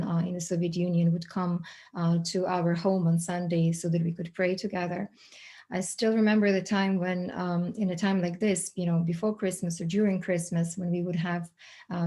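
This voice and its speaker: A woman's voice: fairly low pitch, mellow. A woman in her forties with a Ukrainian accent, giving a talk as an invited speaker, hesitant and full of fillers and restarts. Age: 40s. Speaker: guest speaker. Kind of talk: prepared talk at an online event.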